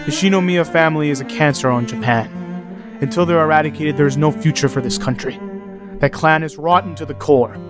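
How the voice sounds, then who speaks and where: A man's voice: a little high, a little fast, over music, natural voice. A man playing a bitter, commanding father of a wealthy family in an anime radio play. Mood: stern, bitter. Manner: grave, commanding.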